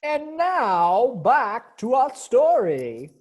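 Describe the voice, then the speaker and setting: A woman's voice: a little high, very animated, very slow, a little mellow. A woman announcer giving theatrical scripted narration in a dramatic, suspenseful manner. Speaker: announcer, narrator of virtual event.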